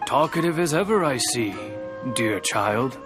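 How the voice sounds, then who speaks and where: A man playing a patient, stern old master swordsmith in a video game, speaking in a measured, solemn way. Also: medium pitch, expressive, music in the background, lightly acted. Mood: calm, admonishing.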